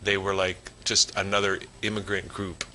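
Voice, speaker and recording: A man hosts a casual interview, asking curious questions in a rambling way with plenty of fillers. His voice sits a little low but sounds very bright and sharp. Faint background noise hangs in the room. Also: level tone, moderate pace.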